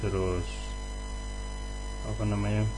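Low, even-toned man, slow radio host. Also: faint music behind, rough recording. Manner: halting, searching for words. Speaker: radio host interviewing a band. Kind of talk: casual radio interview.

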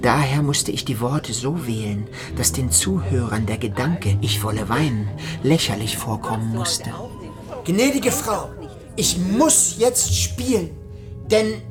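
An awkward, overwrought narrator in a literary radio play. His delivery is theatrical and fragile. Music plays clearly behind him. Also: mid-range voice. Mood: exalted pleading. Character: awkward, fragile, overwrought man at an evening party. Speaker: first-person narrator and protagonist.

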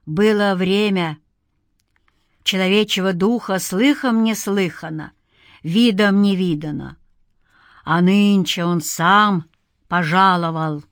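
A woman voicing an ancient male undead forest demon in a storybook, with a deathly, hushed, insinuating and mocking delivery. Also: mid-range voice, no echo, studio quality. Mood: cold mockery. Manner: slow, mocking, menacing.

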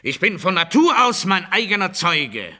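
An actor playing a menacing, self-righteous authoritarian orator in a satirical radio play monologue, declaiming pompously with emphatic rhetorical delivery. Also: male voice, high-pitched, very animated, moderate pace, sharp tone, heavily acted. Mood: smug reminiscing.

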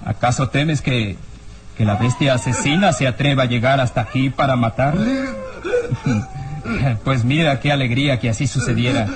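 An actor playing a hard-nosed, uneasy police inspector in a radio serial, with a theatrical, menacing way of questioning. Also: male voice, mid-range voice, moderate pace, pauses often, crowd noise, natural voice. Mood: taunting, menacing. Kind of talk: old radio serial acting. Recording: radio drama with music underneath.

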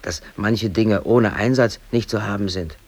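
Radio drama lead: brooding East German working man, late thirties, lowish voice, obsessive and embittered, talking to himself in swings from mutter to outburst. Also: measured pace, a little mellow. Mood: wistful, rueful. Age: late 30s. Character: aggrieved East German factory worker and obsessive hobby photographer. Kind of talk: radio drama interior monologue.

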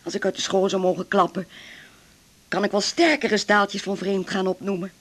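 An actor playing a discreet, pragmatic lawyer with a formal, measured way of talking, in a radio drama. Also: male voice, high voice, level tone, a bit bright. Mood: advising, reassuring. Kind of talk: naturalistic 1980s radio drama acting.

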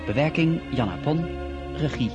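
A man's voice: a little high, lively intonation, normal speed, lo-fi sound. A man announcing a radio drama in a scripted introduction. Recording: loud music over the voice.

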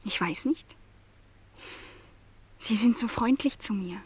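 A woman playing a young, lonely housemaid in a radio play, naive and earnest, speaking formal phrases that sometimes drop to a hush. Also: a little high, slow, breathy edge, heavily acted. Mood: timid, wistful. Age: young adult. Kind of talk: German radio play dialogue.

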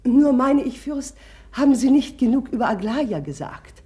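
A woman playing an imperious, excitable married society lady in a 1950s radio drama, insistent and theatrical. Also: high voice, moderate pace. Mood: gracious, probing. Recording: 1950s radio play recording.

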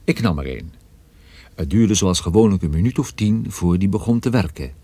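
An actor playing a hard-boiled detective who narrates his own case in a radio play with a husky voice. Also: low voice, lively intonation, normal speed, warm tone, no room sound. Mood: matter-of-fact. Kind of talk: first-person detective story narration.

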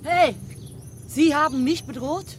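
A woman's voice: high-pitched, unhurried, a little hiss or hum. An actress playing a blunt, persistent woman journalist with a direct manner in a German radio crime drama. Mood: confrontational. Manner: direct, probing. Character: blunt, fed-up, persistent female investigative journalist.

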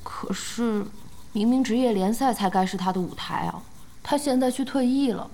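A woman playing an outspoken, emotional young gaming fan in a radio drama, earnest and expressive. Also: a little high, normal speed, slight background noise, close to an everyday voice. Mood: upset. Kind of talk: Chinese radio drama acting. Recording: music behind the voice.

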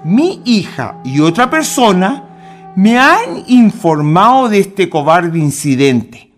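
An actor playing a grave, commanding president in a radio drama, speaking formally and deliberately. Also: male voice, high-pitched, exaggerated voice.

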